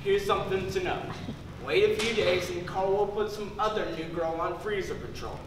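A man's voice: high voice, fairly even intonation, normal speed, lightly acted. A young man on stage playing a laid-back metalhead store worker with slangy slacker talk. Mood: confiding. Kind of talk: stage comedy acting. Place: school theater stage. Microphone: distant camera in the audience. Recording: echoey theater stage from far off, noisy.

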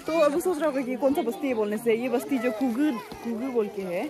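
A young woman from Arunachal Pradesh, chatting excitedly to her phone camera while vlogging around a hill village. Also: sits high, brisk. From Arunachal Pradesh, India. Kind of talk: casual vlog narration.

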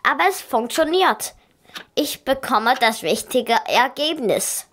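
Casting: woman as a slow-spoken, earnest schoolgirl, a little high, soft music behind. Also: lively intonation, full character voice. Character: schoolgirl, earnest and creative, a bit unsure. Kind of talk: children's audio drama.

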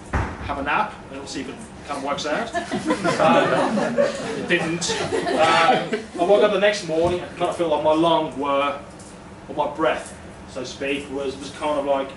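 A man's voice: a little high, steady tone, a little slow. A man in his thirties from Denmark telling a personal story to a live audience, chatty and self-deprecating with many ums. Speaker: storytelling event speaker. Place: small brick-walled event room, indoors. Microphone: far room mic. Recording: echoey room, distant mic, noisy.

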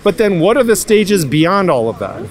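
A man's voice: high-pitched, very animated, normal speed. A young man in a street interview at night outdoors, musing philosophically and asking rhetorical questions into a handheld mic. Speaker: passerby. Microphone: handheld mic held by interviewer.

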